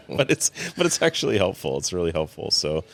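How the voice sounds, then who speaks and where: Very deep-voiced man, rambling. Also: sing-song, normal speed, creaky. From California. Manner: rambling, many fillers and restarts, chuckles often. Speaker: guest, cigar company co-founder. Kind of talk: casual interview chat.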